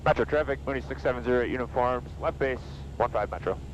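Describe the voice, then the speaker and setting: A man's voice: medium pitch, fast talker, muffled recording, noisy background. An American man flying a small plane, chatting casually and making clipped radio calls over a headset. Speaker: pilot. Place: small airplane cockpit in flight. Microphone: aviation headset. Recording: loud engine drone over headset audio.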